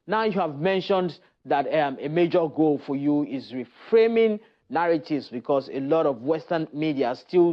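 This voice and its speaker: A man hosting an entertainment news interview in a polished, upbeat manner in a TV studio. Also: fairly high pitch. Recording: slightly dull broadcast audio with faint music.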